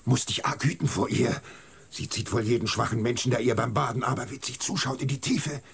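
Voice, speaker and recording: A man's voice: mid-range voice, a little fast, gravelly, fairly bright tone, husky, exaggerated voice. A man playing a superstitious, fearful village goatherd in a radio play, given to muttered warnings and frightened shrieks. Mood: wary, eerie. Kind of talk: German radio drama acting.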